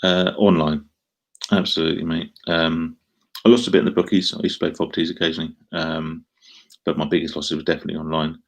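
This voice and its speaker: Deep gravelly Essex man. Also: flat delivery, fast talker. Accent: Essex English. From Essex, England. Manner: rambling, self-deprecating, frequent ums and restarts. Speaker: live streamer answering chat. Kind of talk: casual live stream chat.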